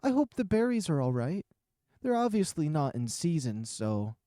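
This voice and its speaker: A man voicing a timid, kindly woman host in a visual novel, speaking gently and hesitantly. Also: a little high, big pitch swings, moderate pace, no echo, studio quality. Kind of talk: visual novel character reading.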